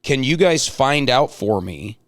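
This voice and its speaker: A man's voice: medium pitch, lively intonation, a little slow. A middle-aged man hosting a casual chat, telling anecdotes and joking as he prods his guests. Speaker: show host.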